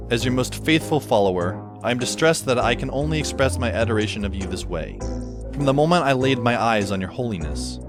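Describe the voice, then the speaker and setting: A man's voice: medium pitch, close to an everyday voice. A man playing an exasperated, sardonic teenage schoolboy who narrates an audio drama in a wry, self-questioning, conversational way. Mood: reciting stiffly. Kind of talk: first-person audio drama narration and dialogue. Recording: music behind the voice. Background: background music.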